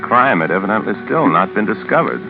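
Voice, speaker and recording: A man's voice: on the low side, big pitch swings, fast, gravelly. An actor playing a pompous, calculating lawyer who narrates his own crime, in 1940s radio style on a muffled old recording with music. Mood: smug, calculating. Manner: pompous, self-satisfied, articulate.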